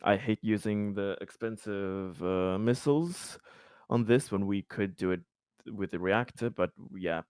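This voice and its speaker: Deep smooth-voiced man at a game table. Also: measured pace, darkish tone, no echo, clean studio sound. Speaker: player in a tabletop roleplaying game. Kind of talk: in-character discussion at a game table.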